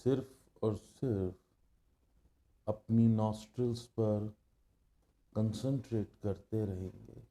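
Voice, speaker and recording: A man with an Indian accent guides a meditation in a very dark, soft-edged voice pitched on the low side. He goes extremely slowly and leaves long silences between short phrases. His instruction stays calm, hushed and measured.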